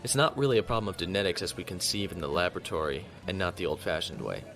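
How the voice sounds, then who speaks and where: A man acting a composed young noble naval lieutenant in a science fiction audio drama, formal and measured, coolly defiant. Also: low-pitched, lively intonation, over music. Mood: composed, dry.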